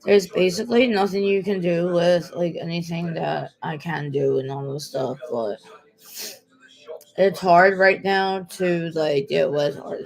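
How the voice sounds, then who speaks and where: A woman's voice: fairly low pitch, fairly even intonation, a little slow, gravelly, poor audio. A woman in her late twenties talking casually to the camera in a personal vlog, rambling, repeating herself and restarting her sentences. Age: 20s. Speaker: vlogger.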